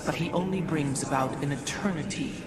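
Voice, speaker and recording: A woman's voice: low-pitched, a little fast, lightly acted. A woman playing a grave, foreboding alien mystic warrior in a video game, speaking with solemn, ominous deliberation. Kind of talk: video game cutscene acting. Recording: distant, loud ambient noise.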